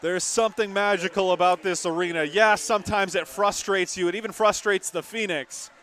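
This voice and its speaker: Young male play-by-play sportscaster, high register, dramatic delivery for live volleyball from a gym. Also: steady tone, a little fast, a bit bright. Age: young adult. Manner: emphatic, dramatic calls. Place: courtside in a gymnasium.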